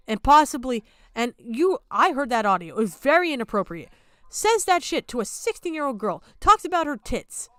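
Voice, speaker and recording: A woman's voice: high-pitched, a bit bright, clean tone, no room sound, clean studio sound. A woman streamer giving a casual, blunt reaction rant, swearing heavily and indignantly.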